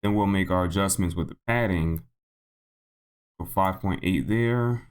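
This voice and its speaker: Male tutorial host, lowish and a little hoarse, informal walkthrough delivery that thinks out loud. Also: measured pace. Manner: thinks aloud, repeats himself. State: slightly hoarse. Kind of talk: casual unscripted software tutorial narration.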